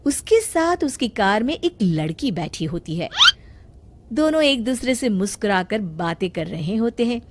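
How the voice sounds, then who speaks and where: A woman's voice: mid-range voice, big pitch swings, measured pace, a little mellow, slight background noise. A woman reading scripted storybook narration for a cartoon, as the storyteller.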